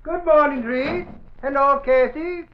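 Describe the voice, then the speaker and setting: An actor playing an excitable, self-important Irish reporter in a 1940s radio drama, blustering in folksy, ungrammatical speech. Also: male voice, extremely high pitch, measured pace, a little hiss or hum. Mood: breezy. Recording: dull old radio broadcast recording.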